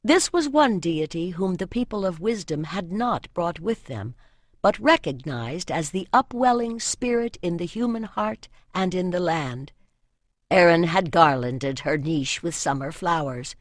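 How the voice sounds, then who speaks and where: A woman reading scripted fantasy fiction as an audiobook narrator, with measured literary storytelling. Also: on the low side, lively intonation, dry recording, clean studio sound.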